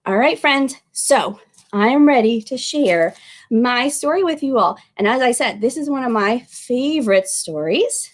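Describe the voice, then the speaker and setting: A woman speaking as a children's librarian at storytime, expressive and addressing young listeners directly. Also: on the high side, unhurried. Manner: animated, expressive, with exclamations and questions to young listeners. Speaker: children's librarian reading aloud. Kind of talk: storytime picture-book reading and friendly address to children.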